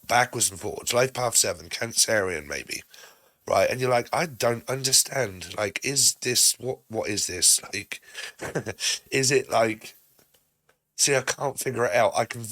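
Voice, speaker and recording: A British man with a hoarse voice from a lingering cough, giving a casual, rambling tarot reading and acting out both sides of imagined conversations. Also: mid-range voice, fairly bright tone, no echo. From Britain. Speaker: tarot reader.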